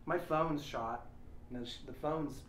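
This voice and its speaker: A man's voice: a little high, takes it slowly, a bit bright. A man hosting a read-along, moving between casual chat and reading a story aloud, recorded on a small shared lapel microphone. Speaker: host of a read-along show. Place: echoey room. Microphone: small lapel microphone shared by the group. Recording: roomy echo, faint noise, small lapel mic.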